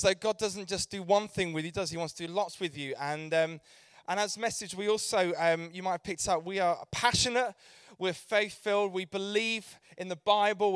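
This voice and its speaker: A man's voice: high-pitched, fairly bright tone, no room sound. A man leading a youth ministry, speaking passionately to a church audience with emphatic, repeated rallying phrases. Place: church event hall.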